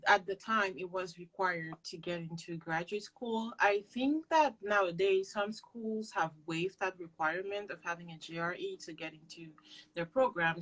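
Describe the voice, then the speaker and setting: A woman's voice: mid-range voice, a bit bright, poor audio. A young woman from Cameroon whose first language is not English, giving earnest and direct advice as an interview guest. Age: young adult. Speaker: interview guest, PhD student.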